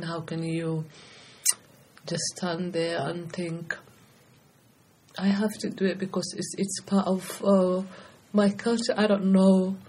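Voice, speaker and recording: A woman's voice: sits low, darkish tone, a little hiss or hum. A middle-aged woman from Somalia, a guest speaking earnestly and passionately in a podcast interview. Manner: earnest, passionate, talkative, frequent restarts. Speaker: guest, anti-FGM campaigner. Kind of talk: podcast interview, personal testimony.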